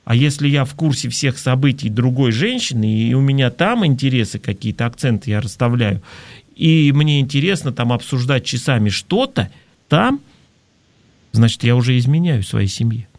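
A man's voice: medium pitch, expressive, moderate pace, a little mellow, dry recording. A man hosting an advice talk in a conversational, reflective way, explaining things and posing rhetorical questions. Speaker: talk show host. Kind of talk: conversational advice talk, reading listener messages.

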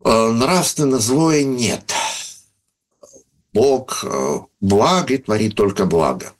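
A man explaining ideas in unscripted talk, thoughtful and pausing often with hesitations. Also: medium pitch, animated. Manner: thoughtful, many hesitations, reads out listener questions. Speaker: speaker answering questions on philosophy of religion.

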